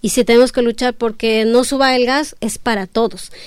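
A woman with a smooth, clear voice pitched a little high speaks as a guest on a panel. She is from Ecuador, her first language is Quichua, and she explains her points earnestly. The sound is dry and close. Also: steady tone, normal speed, no echo. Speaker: academic guest. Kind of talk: conversational panel discussion.

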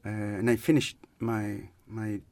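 Slow-talking man, English. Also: fairly low pitch, little pitch movement, darkish tone. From Chile. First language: Spanish. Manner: thoughtful, searching for words in a second language. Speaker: guest. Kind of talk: casual conversational interview.

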